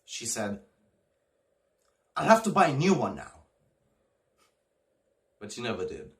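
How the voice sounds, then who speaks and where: A man acting a disturbed, childlike young man, volatile. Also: mid-range voice. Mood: gleeful, morbid. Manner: halting, repeating words, swinging between hushed and excited. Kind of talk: theatrical audition monologue.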